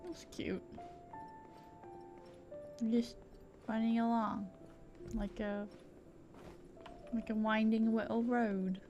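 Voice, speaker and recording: A woman streams a video game with casual commentary, talking slowly in a fairly high voice. She mutters to herself in short remarks as she plays, with music behind her. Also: flat delivery, dark tone, highs cut off. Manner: mutters to herself while playing.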